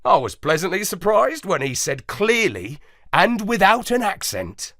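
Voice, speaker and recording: Loyal dog narrator for a children's storybook, voiced by a man: bright, measured, lively intonation, earnest. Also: high-pitched, no room sound, clean studio sound, voice pushed up, exaggerated voice. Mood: wry, earnest. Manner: expressive, earnest. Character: earnest, loyal male space dog telling his adventures.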